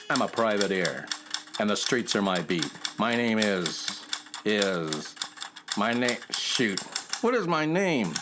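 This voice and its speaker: A man's voice: fairly low pitch, background noise. A man playing a self-doubting private eye and would-be novelist in a children's radio drama, with a melodramatic mock-noir delivery. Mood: brooding, theatrical. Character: male hard-boiled private-eye spoof, self-doubting aspiring novelist.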